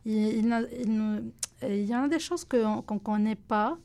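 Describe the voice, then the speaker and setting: Woman speaking halting French. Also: fairly high pitch, dark tone. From Lebanon. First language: Arabic. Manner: halting, searching for words in a second language. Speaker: guest. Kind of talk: radio interview answers.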